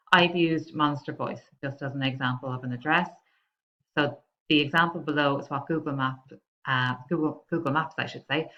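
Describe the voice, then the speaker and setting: Irish female tutor, low voice, informal walkthrough of a lesson, slightly muffled lo-fi sound. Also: fairly bright tone. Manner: instructional, checking in with frequent "okay". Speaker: adult education maths tutor. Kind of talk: informal step-by-step screen-share lesson. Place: indoors, recorded over a screen capture.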